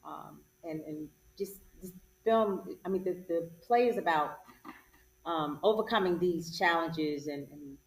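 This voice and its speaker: Halting low-voiced woman, echoey room. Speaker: show guest discussing a play. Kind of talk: halting unscripted interview talk. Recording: echoey room, distant mic.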